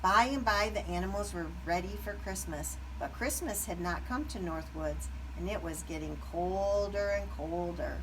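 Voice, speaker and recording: A woman narrating a children's story aloud with expressive, deliberate phrasing. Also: on the low side, far from the mic, rough recording. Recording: background noise.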